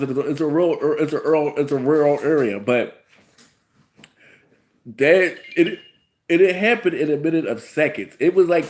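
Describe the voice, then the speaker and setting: A man's voice: fairly high pitch, a little mellow. Rambling man in his thirties with a cold. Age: 30s. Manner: rambling, frequent "you know" fillers, restarts. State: has a cold. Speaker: host. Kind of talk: casual reality-TV review vlog.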